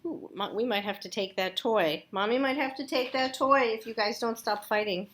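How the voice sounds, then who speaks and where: A woman's voice: on the high side, normal speed, fairly bright tone. A woman teacher reading a storybook aloud expressively, with casual asides spoken directly to young listeners.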